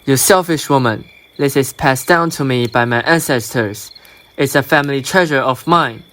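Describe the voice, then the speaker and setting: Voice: medium pitch, moderate pace, fairly bright tone, slight background noise, close to an everyday voice. An amateur actor playing a proud, aggrieved fairy king with a commanding manner in a radio drama. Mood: indignant.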